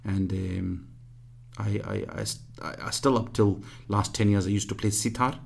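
A man in his fifties from Pakistan, reminiscing in an interview in a halting way full of fillers. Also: fairly low pitch, fairly even intonation, vocal fry, breathy edge. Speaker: guest.

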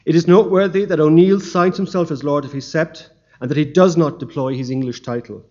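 A man giving a formal academic history lecture, reading from a prepared paper in a measured way with the odd stumble. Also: fairly high pitch, steady tone, darkish tone, lo-fi sound.

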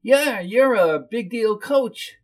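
Man putting on a whiny, high-pitched middle-aged woman. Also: animated, takes it slowly, exaggerated voice. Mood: eager, familiar. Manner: brash, familiar, playful. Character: whiny, chatty, tubby gray-haired woman in her fifties.

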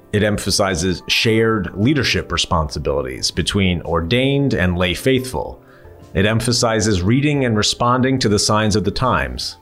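Calm, measured male podcast host. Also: low-pitched. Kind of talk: scripted podcast intro and interview.

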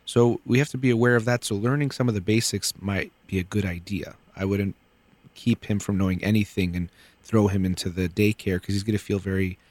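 A man hosting a call-in radio show, giving calm, measured advice with casual fillers. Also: on the low side, dry recording. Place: radio studio.

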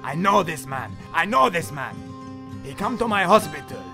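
An actor playing a fervent, preachy captive with a Spanish accent and broken English, in a dark comedy crime drama. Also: male voice, fairly high pitch, big pitch swings, normal speed, music in the background. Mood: nervous, reverent. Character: terrified male captive turned fervent, preachy zealot.

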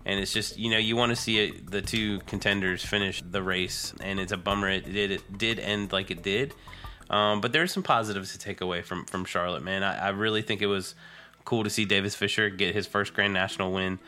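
A man's voice: low voice, fairly even intonation, fairly quick, pushed and bright, soft background music. A man co-hosting a podcast in casual, dude-peppered chat, sounding nasal and congested from a cold. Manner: informal, peppers talk with "dude". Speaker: podcast co-host. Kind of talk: casual chat about motorcycle racing.

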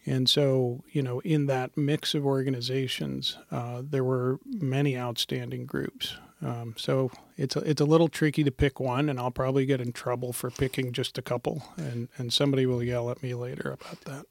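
Gravelly, hesitant middle-aged man. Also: medium pitch, flat delivery, normal speed, few pauses, no room sound, studio quality. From Montana. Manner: thoughtful, hesitant, many fillers. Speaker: foundation program director. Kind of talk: interview answers.

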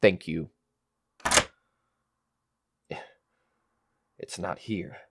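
An actor playing a greedy, short-tempered schemer in a melodramatic radio play, brooding and domineering. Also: male voice, sits low, measured pace, vocal fry, noisy background. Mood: cold, menacing. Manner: brooding, muttering to himself, domineering. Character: scheming, greedy, short-tempered man hunting a hidden inheritance.

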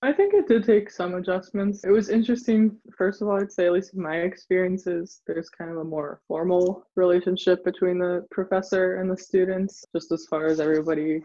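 A man's voice: sits high, fairly even intonation, fairly quick, few pauses, warm tone. Chuckling man, dull lo-fi sound. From United States. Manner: chuckles often, hedges with "maybe" and "kind of". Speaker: interview guest, former exchange student. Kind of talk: conversational interview answers. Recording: dull lo-fi recording.

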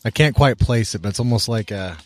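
A man's voice: fairly low pitch, a little fast, darkish tone. A man talking casually and informally as a guest on a panel outdoors. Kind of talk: casual chat. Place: outdoors by a bonfire.

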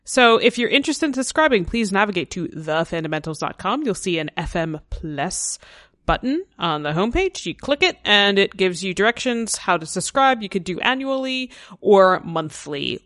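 A woman's voice: medium pitch, very animated, normal speed, a bit bright, no room sound, studio quality. A woman hosting a casual podcast chat, talking frankly and laughing often. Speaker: podcast host.

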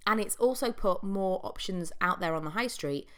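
A woman's voice: medium pitch, lively intonation, moderate pace, fairly bright tone. A young woman hosting a solo podcast, talking in a chatty, reflective way with frequent ums and likes, her voice congested from a cold. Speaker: podcast host. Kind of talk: casual solo podcast monologue.

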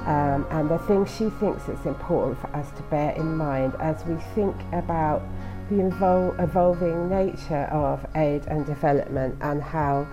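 A woman hosting a podcast, introducing the episode at a steady pace with a few ums. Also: sits low, unhurried, few pauses, rough recording. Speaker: podcast host. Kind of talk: spoken introduction. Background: music.

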